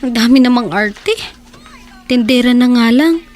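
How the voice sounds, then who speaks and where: A woman's voice: a little high, unhurried, a little mellow, natural voice. An actress playing a frustrated young woman job seeker who grumbles with exasperation in a radio drama. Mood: frustrated, grumbling.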